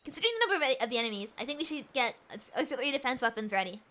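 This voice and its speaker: A woman streaming a game, reading its dialogue aloud, hoarse from a cold and losing her voice, excitable and tripping over her words. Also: sits high, very fast. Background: game music underneath.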